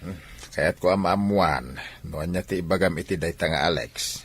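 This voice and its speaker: Husky, low-voiced older Filipino father. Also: moderate pace. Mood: musing. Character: older Filipino father, hesitant, conspiring advisor. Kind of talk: Filipino radio soap opera acting.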